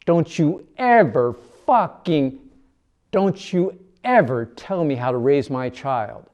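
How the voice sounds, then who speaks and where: A man voicing an enraged mother who scolds loudly in a raised, shrill voice. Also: expressive, takes it slowly. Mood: angry scolding. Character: furious shouting mother, adult woman.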